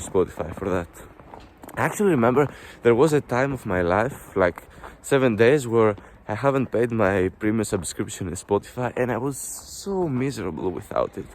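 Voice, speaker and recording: A man's voice: lowish voice, a little mellow, slight background noise. A Greek man in his twenties with a Greek accent, chatting casually in a vlog while walking along a snowy street. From Greece. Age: 20s. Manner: chatty, rambling with fillers. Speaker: vlogger.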